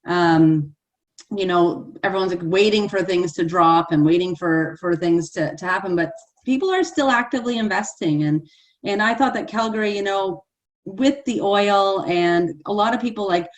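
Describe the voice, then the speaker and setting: A woman's voice: medium pitch, normal speed. An enthusiastic woman hosting a live panel, chatty and full of "like" and "you know". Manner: enthusiastic, chatty, many fillers. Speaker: host.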